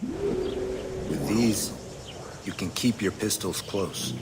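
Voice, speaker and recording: Slow, stoic male mentor. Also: on the low side, huge pitch swings, creaky, heavy background noise, exaggerated voice. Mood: instructive. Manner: measured, formal. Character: stoic, patient male assassin survival mentor. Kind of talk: video game cutscene acting.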